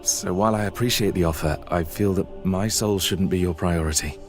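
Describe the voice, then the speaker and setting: A man plays a young fantasy game hero with a very low voice. He is polite but guarded and quietly defiant, answering in clipped phrases over clear music. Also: brisk, close to an everyday voice. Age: young adult. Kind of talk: dark fantasy game acting.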